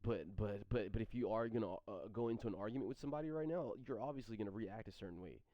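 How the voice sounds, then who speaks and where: Man, thirties, low voice, halting stream chatter full of restarts; dry, dull home-mic sound. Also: mellow, no room sound. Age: 30s. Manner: halting, repeats words and restarts often. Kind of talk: rambling stream chat answering chat questions.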